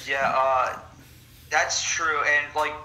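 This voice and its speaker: Bright tone, man, swearing, animated, casual movie talk. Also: a little high, takes it slowly, poor audio. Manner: animated, profane, mocking impressions. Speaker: podcast co-host.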